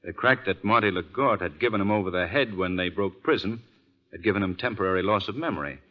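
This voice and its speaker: An actor playing an assured, urbane gentleman sleuth in a polished 1940s radio drama style. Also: low-pitched, quick pace, close to an everyday voice. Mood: grave, explanatory. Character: suave, assured gentleman amateur sleuth, adult man. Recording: muffled vintage 1940s radio recording.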